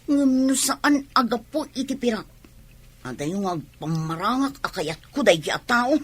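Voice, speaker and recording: A young Ilocano daughter in a radio drama. Her voice sits fairly high and has a husky grain. She sounds evasive and breaks easily into exclamations. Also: sing-song, a little slow, heavily acted. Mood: guarded. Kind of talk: Filipino radio drama acting.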